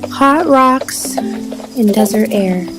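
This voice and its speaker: A woman's voice: fairly high pitch, drawn-out words, music in the background, low-quality recording. A woman playing a dreamy, innocent survivor in an audio drama.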